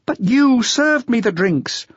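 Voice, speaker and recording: Man doing a shrill shouting youth, wailing, raspy, dry sound. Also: lively intonation, slow pace, dry recording, muffled recording, heavily acted. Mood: wailing, furious. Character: drunk young man under twenty, wailing and furious.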